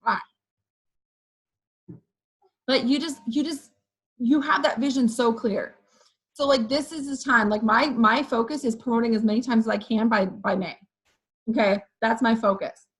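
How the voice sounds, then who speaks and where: A woman with a hoarse voice giving an energetic motivational pep talk to her sales team, often checking in with "okay" and "you guys". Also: on the high side, moderate pace, long pauses, rough recording. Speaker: direct sales team leader.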